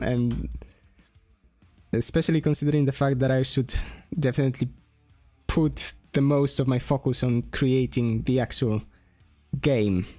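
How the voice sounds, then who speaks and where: Man, Polish accent, unhurried drawling speech, mid pitch, faint music underneath. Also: dark tone. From Poland. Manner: slow and thinking aloud, with pauses and restarts. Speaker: streamer and game developer. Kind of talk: casual drawing stream talk.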